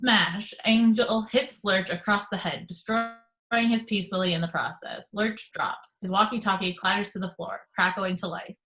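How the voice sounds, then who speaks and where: A woman reading the action descriptions of a screenplay in a steady, matter-of-fact way on a video call. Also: mid-range voice, moderate pace, low-quality recording.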